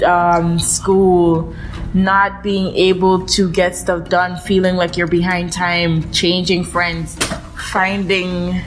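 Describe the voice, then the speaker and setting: A woman's voice: fairly low pitch, slight background noise, rough recording. Giggly woman in her twenties, rambling playfully. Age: 20s. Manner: laughs often, playful and rambling. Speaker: podcast co-host. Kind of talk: casual chat.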